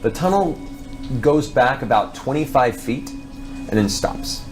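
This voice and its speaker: A man's voice: mid-range voice, animated, takes it slowly. Man narrating a fantasy game over music. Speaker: game master. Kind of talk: narrating a tabletop game, improvised.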